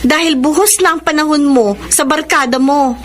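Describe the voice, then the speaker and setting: Woman playing young mother, Filipino accent, high voice, pleading, radio soap acting, clear background noise. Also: normal speed, natural voice. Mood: hurt. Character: young wife and mother, worried, tearful, pleading. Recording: noisy radio drama recording.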